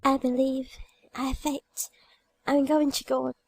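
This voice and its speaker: Woman as a breathy, high-voiced, serene dying girl. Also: unhurried, dry recording, full character voice. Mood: devout, calm. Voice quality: soft, weak, breathy. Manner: calm, gentle, tender. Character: dying young girl, serene, pious, gentle. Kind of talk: dramatic audiobook reading.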